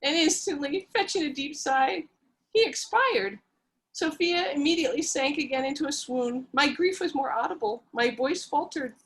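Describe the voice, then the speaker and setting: A woman reading a story aloud with theatrical, breathless melodrama. Her voice sits high, and she plays the lead and narrates over a video call. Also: fairly bright tone, low-quality recording.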